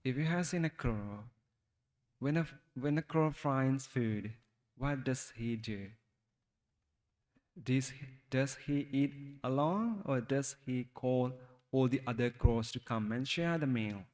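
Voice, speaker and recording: A man with a Sri Lankan accent, a Buddhist monk giving a talk to young listeners, speaking haltingly and asking them questions. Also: mid-range voice, a little mellow, long reverb tail. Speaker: Buddhist monk teaching youth. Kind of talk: Dhamma talk for children.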